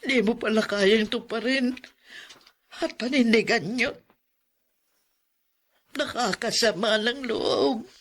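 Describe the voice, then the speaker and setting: A woman's voice: a little high, fairly bright tone, exaggerated voice. A woman playing a forlorn elderly aunt left in a care center, speaking plaintively with sighs, in a Filipino radio drama. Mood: sorrowful. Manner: slow, plaintive, sighing.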